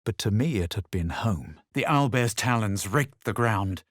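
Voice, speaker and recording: An actor playing a haughty, self-assured adventurer in a fantasy video game, with a measured, dramatic and superior delivery. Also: male voice, lowish voice, animated, no room sound, studio quality. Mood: narrating, solemn. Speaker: voice actor of a player character. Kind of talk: scripted video game character lines and narration.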